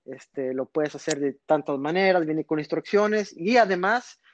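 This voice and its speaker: Man, 30s, rambling storyteller, slightly high voice, muffled dry audio. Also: expressive, unhurried. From born in Texas, raised in northern Mexico. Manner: rambling, storytelling, frequent fillers. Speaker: guest magician. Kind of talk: casual podcast interview.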